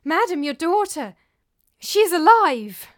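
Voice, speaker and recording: A woman voicing an aging, stubborn and devoted servant nurse, excitable and insistent, in an audiobook story. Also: very high voice, unhurried, no room sound, studio quality, pitched above the performer's own voice, full character voice. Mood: urgent, excited.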